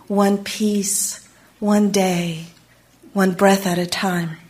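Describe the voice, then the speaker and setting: Woman speaker, unhurried and solemn, sermon delivery. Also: mid-range voice. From Chile. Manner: measured, solemn, lingering on single words. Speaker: Unitarian Universalist speaker. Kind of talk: slow reflective sermon.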